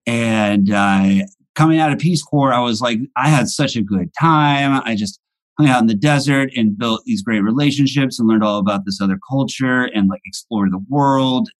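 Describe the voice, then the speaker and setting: A man in his thirties telling a long story in casual chat, hesitant and rambling, full of fillers and restarts. His voice sits at an ordinary middle pitch. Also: moderate pace, poor audio. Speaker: podcast guest.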